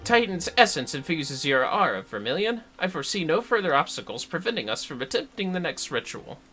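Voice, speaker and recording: An earnest scholarly woman of a fantasy game, acted out by a man, speaks in a raised, bright voice. The delivery is formal and measured, with lively swings of pitch as ritual lore is explained. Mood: solemn, confident. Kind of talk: video game quest dialogue read aloud.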